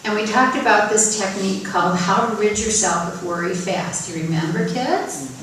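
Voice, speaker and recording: A woman's voice: medium pitch, moderate pace, fairly bright tone, distant mic, a little hiss or hum. A woman teacher speaks to a congregation in an encouraging way and draws people in by name. Her voice rings through a very echoey sanctuary from well back off the mic. A faint ambience sits behind her. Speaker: spiritual teacher. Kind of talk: sermon talk. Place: church sanctuary.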